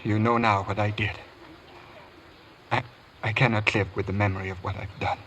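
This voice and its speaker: A man playing a broken, guilt-stricken valet confessing in a vintage radio courtroom drama, halting and anguished. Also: lowish voice, little pitch movement, fairly bright tone, close to an everyday voice. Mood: anguished, ashamed. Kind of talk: 1940s-50s radio courtroom drama acting. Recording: faintly noisy vintage radio recording.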